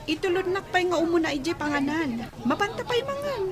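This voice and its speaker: A woman's voice: very high-pitched, very animated, fairly quick. An actress with a Filipino accent playing a friendly, insistent young woman customer in a radio drama. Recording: busy outdoor crowd noise behind.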